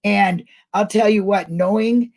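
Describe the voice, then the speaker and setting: A woman's voice: mid-range voice, unhurried, a little mellow. A middle-aged woman hosting a casual live online discussion, chatting in a colloquial, rambling way. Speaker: host of an online talk show.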